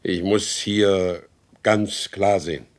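A man's voice: low-pitched, steady tone, slow. An actor playing a proud, wronged horse dealer in a German radio drama, speaking formally and emphatically as he insists on his rights. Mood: stern questioning.

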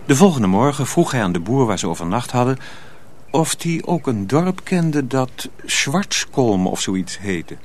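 A man's voice: medium pitch, big pitch swings, a little slow, darkish tone, a little hiss or hum. A man narrating a radio drama as a scripted storyteller.

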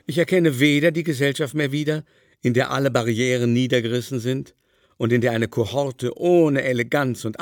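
A man's voice: mid-range voice, darkish tone, natural voice. An actor playing an aging, haughty male aristocrat, indignant and gossipy, declaiming theatrically in a literary radio drama. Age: older. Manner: theatrical, declamatory, long-winded lamenting. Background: musical score.

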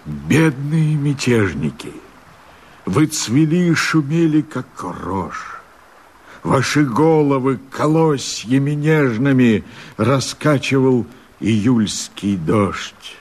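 A fierce, brooding rebel chieftain declaims verse for a radio drama. His husky voice takes its time and swings widely from low to high, with impassioned, theatrical weight. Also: animated, takes it slowly. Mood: bitter, mournful. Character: fierce, brooding male leader of a peasant revolt.

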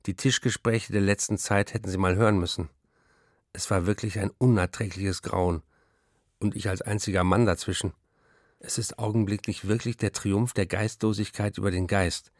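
An actor playing an embittered middle-aged writer, reading diary entries and letters in an articulate, literary way. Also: male voice, fairly low pitch, no room sound, clean studio sound, natural voice.

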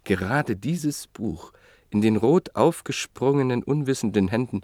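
An actor playing a reflective writer who narrates in a measured, literary way in a radio play. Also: male voice, mid-range voice, lively intonation, a little mellow, dry recording, clean studio sound. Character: reflective male writer and book collector, narrator.

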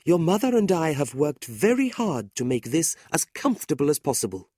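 A man's voice: fairly high pitch, sing-song, normal speed, mellow, breathy edge, no echo, clean studio sound, pitched above the performer's own voice. A man voicing a kindly, motherly woman who fusses cheerfully over a guest, in a storybook reading. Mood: proud. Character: kindly middle-aged woman, warm and fussing.

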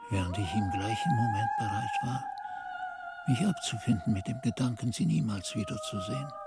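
A man's voice: mid-range voice, normal speed. An actor playing a monk who recalls his youth in a radio drama, narrating in a solemn, ardent, literary manner. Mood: rapturous, confessional. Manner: expressive, solemn, literary delivery. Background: music.

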